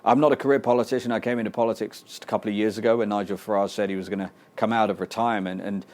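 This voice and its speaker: A man's voice: lowish voice, fairly even intonation, very fast, a little mellow. A British man, a politician answering interview questions earnestly and insistently, often repeating words as he presses his point. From Britain. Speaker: political guest.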